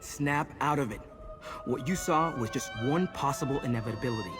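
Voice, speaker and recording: A man's voice: mid-range voice, a little fast, over music, lightly acted. A man playing a firm, urgent ally in a fantasy game, giving terse, commanding lines. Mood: stern reassurance. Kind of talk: dramatic fantasy game acting.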